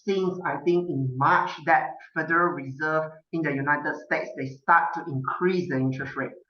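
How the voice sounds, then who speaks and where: A man's voice: fairly high pitch, fairly even intonation, moderate pace. A man hosting a podcast interview over a video call, often tagging his sentences with "okay?". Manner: ends points with a checking "okay?". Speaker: host. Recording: dull roomy video call audio.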